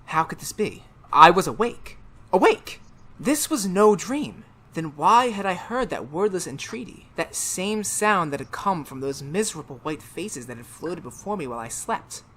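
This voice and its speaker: A low-voiced, haunted man played by a woman unravels in an old-time radio horror monologue. The delivery is melodramatic and halting, hammering on repeated words, over a faintly noisy recording. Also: big pitch swings, a bit bright. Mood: frantic. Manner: melodramatic, halting, emphatic repetitions.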